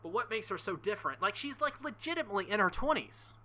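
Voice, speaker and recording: A man with a very bright, forceful and fairly high voice hosts a game playthrough. He reads story text aloud and breaks into animated, sweary joking asides. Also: quick pace. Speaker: video game playthrough host. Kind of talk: gameplay commentary reading visual novel lines aloud.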